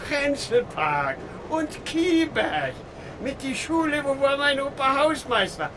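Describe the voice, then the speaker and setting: A man playing a wistful refugee tailor, halting and colloquial, in an audio drama. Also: extremely high pitch, sing-song, exaggerated voice. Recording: noisy train compartment.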